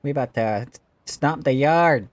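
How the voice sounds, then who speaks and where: A woman's voice: very deep voice, a little slow. A woman reacting casually while playing a video game, with sassy, slangy interjections.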